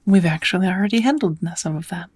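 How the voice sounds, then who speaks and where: A woman playing a kind witch who patiently teaches magic in a soft, often whispered ASMR roleplay voice. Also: lowish voice, expressive, slightly rough. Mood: gentle, musing. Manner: patient, encouraging, unhurried teaching. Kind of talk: ASMR roleplay, whispered lesson to one listener.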